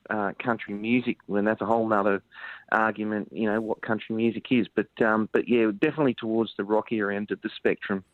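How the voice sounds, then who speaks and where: A man's voice: lowish voice, no room sound. A man from Sydney with an Australian accent, a country musician talking in a rambling, hesitant way full of ums. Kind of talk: phone-in radio interview. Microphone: phone line. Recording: tinny phone line, dry.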